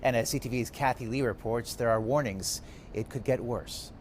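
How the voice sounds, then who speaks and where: A man anchors a newscast. His voice sits at a medium pitch as he reads from a script. A low rumble of noise runs faintly underneath. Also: normal speed. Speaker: news anchor. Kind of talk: scripted news reading.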